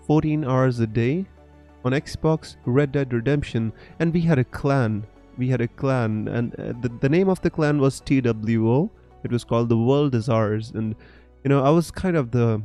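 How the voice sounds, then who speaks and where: Mellow-voiced streamer from North India. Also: male voice, mid-range voice. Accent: mixed international English, picked up online. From Punjab, North India. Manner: chatty, hesitant, many fillers and restarts. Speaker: fantasy football streamer. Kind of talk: casual live-stream chat, reading viewer comments.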